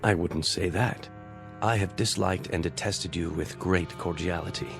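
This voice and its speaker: A man's voice: sits low, steady tone, normal speed, vocal fry, music in the background. An actor playing a stern, embittered old businessman in an audio drama, speaking formally with precise, disdainful diction. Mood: contemptuous.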